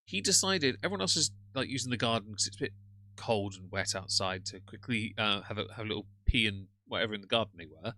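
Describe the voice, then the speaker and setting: A man's voice: lowish voice, animated, fast, pushed and bright, rough recording. A man with a British accent hosting a casual live stream, ranting sarcastically and greeting chat viewers. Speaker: livestream host reacting to another streamer.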